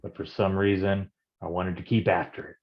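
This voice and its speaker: An actor playing a hard-boiled male private detective in a noir staged reading, gruff and wisecracking in old-time gumshoe slang. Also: low-pitched, flat delivery, normal speed, lo-fi sound. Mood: brooding.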